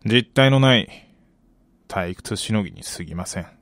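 A man's voice: lowish voice, expressive, normal speed, fairly bright tone, dry recording. An actor playing a cool, cynical man in a radio drama, speaking in a detached, cryptic and curt way. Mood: dismissive. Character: cool, cynical male stranger. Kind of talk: Japanese radio drama acting.

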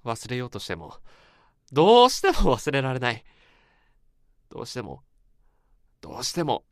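A drunk young man weighed down by guilt , played by a male actor, in a radio drama. His halting, anguished speech swings widely in pitch and repeats itself, in a dry close sound. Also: huge pitch swings, no echo. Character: drunk, guilt-ridden man confessing to his old friend.

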